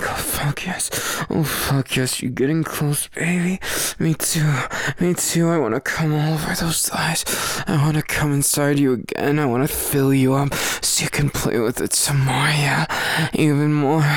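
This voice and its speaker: A man's voice: medium pitch, creaky, fairly bright tone, breathy edge, no room sound. A man speaking in an intimate erotic monologue, murmuring breathily and often slipping into whispers and moans.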